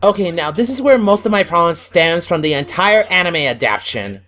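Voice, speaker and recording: A woman's voice: fairly low pitch, lively intonation, brisk, fairly bright tone. A woman delivering a scripted video review in a blunt, exasperated, opinionated manner. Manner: blunt, exasperated, freely swearing. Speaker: online anime reviewer. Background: music.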